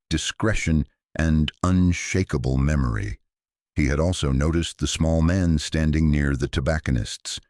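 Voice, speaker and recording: Deep-voiced man narrating a mystery. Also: darkish tone, dry recording, studio quality. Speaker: narrator of a detective story. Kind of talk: scripted audiobook narration of a mystery.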